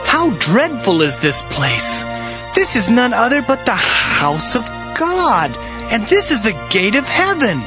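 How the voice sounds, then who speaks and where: Man playing high-voiced earnest young Jacob. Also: wildly swooping pitch, a little slow, full character voice. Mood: awed. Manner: earnest, declamatory. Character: Jacob, earnest awestruck young biblical traveler. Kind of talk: dramatized scripture reading. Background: music.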